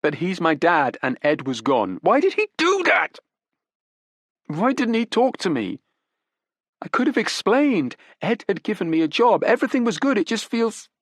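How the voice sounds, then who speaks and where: A tearful young Englishwoman, pitched well up and pushed loud, her words shaky and pleading, as a male narrator puts her on. She sounds desperate and close to breaking. The studio recording is dry, with no room sound. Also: expressive, a little slow, hardly any pauses, dry recording. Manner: breathless, pleading, high and shaky. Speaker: murder suspect's daughter. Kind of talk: audiobook character voice.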